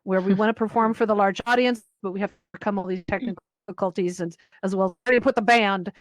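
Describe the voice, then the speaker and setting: A woman's voice: medium pitch, fairly even intonation, quick pace, no echo, poor audio. A woman moderating a panel informally, in a wordy, rambling way. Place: virtual reality event, voice over personal microphone.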